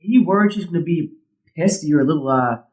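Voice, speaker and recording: An actor playing a placating, casually profane softball teammate in an audio drama. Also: male voice, fairly high pitch, expressive, fairly quick, lightly acted. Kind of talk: naturalistic audio drama acting. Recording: roomy, echoing sound.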